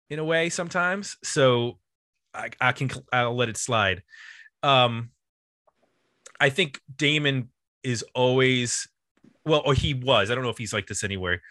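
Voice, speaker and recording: Bright, dry-sounding man chatting casually. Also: medium pitch, dry recording. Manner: informal, rambling, thinking aloud. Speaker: podcast host. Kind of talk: casual music chat.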